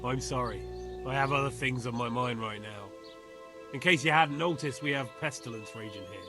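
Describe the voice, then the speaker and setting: A grave medieval village spokesman, acted by a man with a mid-pitched voice, over prominent music. He sounds weary and guarded and speaks bluntly, as game drama. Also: moderate pace, close to an everyday voice. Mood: guarded, irritated. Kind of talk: video game voice acting.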